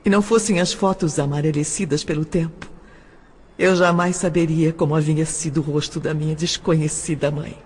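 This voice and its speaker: A woman playing a sorrowful adopted orphan recalling her childhood in an emotive, deliberate audio drama monologue. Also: deep voice, steady tone, darkish tone, slight background noise, natural voice. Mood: sorrowful reminiscence. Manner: slow, emotive, deliberate delivery. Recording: long hall-like reverb.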